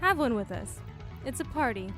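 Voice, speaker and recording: A woman acts out a female crime boss holding court in a space cantina. Her voice is fairly high, teasing and familiar, with music clearly playing behind her. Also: very animated, moderate pace, fairly bright tone. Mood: friendly. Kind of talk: fan-made sci-fi audio drama acting.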